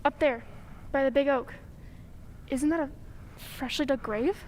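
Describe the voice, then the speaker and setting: A woman's voice: high-pitched, a little slow, a little mellow, a little hiss or hum. A woman playing a nervous, easily indignant small-town villager in an old-time radio drama. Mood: uneasy.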